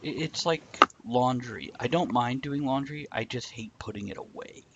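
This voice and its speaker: A man's voice: mid-range voice, normal speed, dull audio, background noise. A man hosting a live online talk show, chatting casually and rambling a bit with a self-deprecating humor. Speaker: podcast host. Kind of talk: casual chat.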